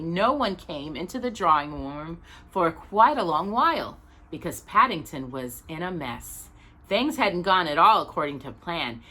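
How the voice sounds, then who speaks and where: A woman reads a children's storybook aloud as a storytime host. Her voice is bright and slightly husky, with very lively intonation and dramatic emphasis on key words. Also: fairly low pitch. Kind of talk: expressive children's storybook narration.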